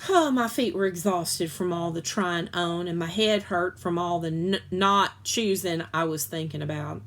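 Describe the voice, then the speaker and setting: A woman reads a first-person children's story aloud in an expressive narrating style. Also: on the low side, measured pace. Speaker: story reader.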